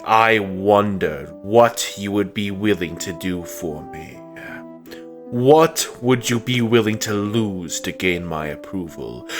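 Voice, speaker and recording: A man voicing a bitter, imperious fallen god in a comic dub, speaking in a brooding, contemptuous and menacing monologue. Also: low voice. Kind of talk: dramatic comic dub narration. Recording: music under the voice.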